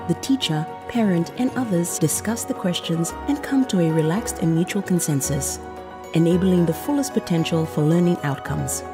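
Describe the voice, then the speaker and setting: A woman reading a scripted instructional narration for a training film in a measured, explanatory way. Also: on the low side. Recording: music behind the voice. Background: background music.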